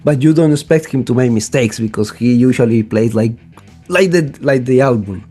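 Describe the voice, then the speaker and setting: A man's voice: mid-range voice, animated, moderate pace, warm tone, faint music behind. A man interviewing a singer, speaking as a with enthusiastic, eager questions. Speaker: interviewer, show host. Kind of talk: casual interview conversation.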